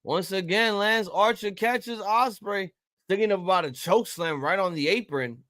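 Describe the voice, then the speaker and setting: A man in his thirties hosting a live-reaction stream, chatting casually and rambling with profanity and sudden loud excited outbursts. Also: sits high, measured pace, no echo, studio quality. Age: 30s. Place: home room at a table.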